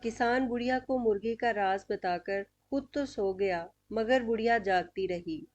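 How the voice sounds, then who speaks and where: A woman's voice: on the high side, a little slow, a little mellow. A woman telling a scripted moral story as narrator of a cartoon.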